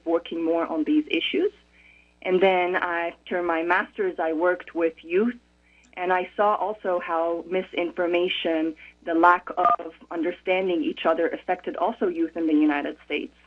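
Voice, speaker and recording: A woman from Morocco, a conflict resolution expert, speaking as a radio interview guest in a thoughtful, measured way with frequent pauses. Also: fairly low pitch, steady tone. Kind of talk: radio interview over the phone. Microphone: telephone. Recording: tinny phone line.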